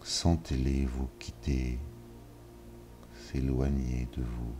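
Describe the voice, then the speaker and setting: A man leading a yoga nidra session, giving calm, measured instructions with long pauses. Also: a bass voice. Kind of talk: slow guided relaxation. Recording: soft music behind. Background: soft ambient music.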